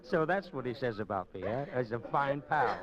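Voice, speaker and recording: An actor playing a smooth-talking, flirtatious man-about-town in a 1950s radio suspense drama, suave and breezy. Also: medium pitch, huge pitch swings, measured pace, full character voice. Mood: chummy, suave. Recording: dull 1950s radio recording.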